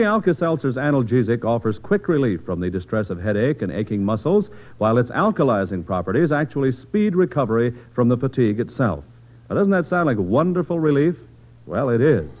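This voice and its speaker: An American male announcer delivers a polished, persuasive radio commercial. His pitch swings in a lively way. The sound is narrow and aged, like a vintage broadcast. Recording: narrow, lo-fi old radio recording.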